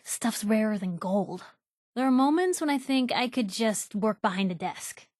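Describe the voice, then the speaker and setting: Wry young American wasteland reporter, dry studio sound. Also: female voice, a little high, lively intonation, moderate pace, darkish tone, no echo. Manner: sardonic, wisecracking, casual. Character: wry, plucky young female reporter in a post-nuclear wasteland. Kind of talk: video game companion dialogue, short conversational remarks.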